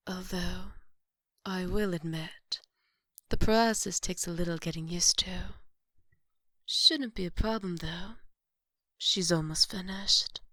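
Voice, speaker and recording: A woman's voice: fairly low pitch, a bit bright, no echo. A woman playing a formal, devoted maid with a polite menace in an ASMR roleplay, composed and often whispery.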